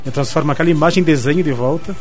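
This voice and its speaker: Fast-talking man with a Curitiba accent. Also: a little high, a little mellow, soft background music. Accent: Curitiba Brazilian Portuguese. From Curitiba, Brazil. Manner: rapid, eager explanations full of restarts. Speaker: guest illustrator. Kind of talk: radio interview answers. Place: radio studio.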